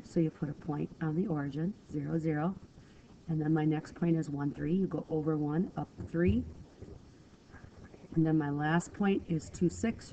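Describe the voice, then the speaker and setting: A woman's voice: deep voice, gravelly, mellow. A woman teaching a math lesson over a screen recording, talking step by step in a patient, apologetic way, a little stuffy from a cold. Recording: dull lo-fi screen recording with faint hiss.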